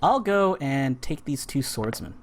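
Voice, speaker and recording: A man's voice: mid-range voice, lively intonation, a little slow, slight background noise. A man hosting a board game stream, chatting casually and with enthusiasm as he plays. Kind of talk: casual chat while playing a board game.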